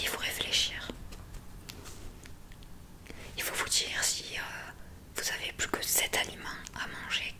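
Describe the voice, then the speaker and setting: A woman doing an ASMR roleplay in a breathy whisper, softly guiding the listener step by step into a close microphone. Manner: soft, unhurried, step-by-step instructing. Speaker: ASMR roleplay host, examiner giving relaxation tests.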